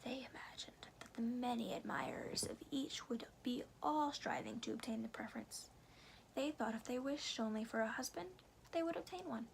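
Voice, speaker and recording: A woman reading a storybook aloud in a soft, breathy ASMR whisper, phrasing slowly and deliberately with careful pauses. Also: on the high side, a little hiss or hum, rough recording.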